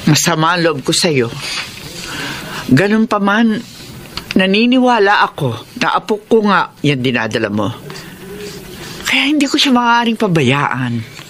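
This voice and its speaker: A woman's voice: fairly low pitch, sing-song, a little slow, pauses often, fairly bright tone, a little hiss or hum. A woman acting a stern but supportive older mother-in-law in a Filipino radio drama, speaking firmly. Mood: stern, caring.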